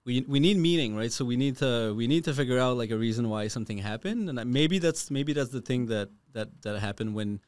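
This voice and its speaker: Halting man hosting a chat. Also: mid-range voice, expressive, darkish tone, clear, resonant tone, no echo. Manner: halting, many restarts. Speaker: podcast host. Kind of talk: casual interview chat.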